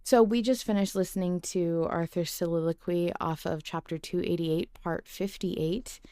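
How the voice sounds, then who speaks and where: Brief: laid-back woman host, a bit low, dry close mic, joking and casual. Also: steady tone, moderate pace, warm tone, clear, resonant tone, no echo. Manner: playful, casual, swears lightly. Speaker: podcast host. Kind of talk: casual podcast chat.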